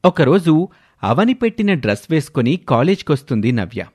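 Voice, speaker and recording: Man narrating a story with lively pitch. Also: moderate pace, slightly rough. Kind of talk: scripted dramatic story narration in Telugu village tale.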